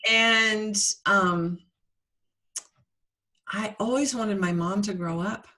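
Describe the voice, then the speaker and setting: A woman's voice: medium pitch, steady tone, slow pace, sharp tone. A middle-aged woman co-hosting a podcast, telling a personal story in an earnest, playful way. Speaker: podcast co-host. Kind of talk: casual reflective chat.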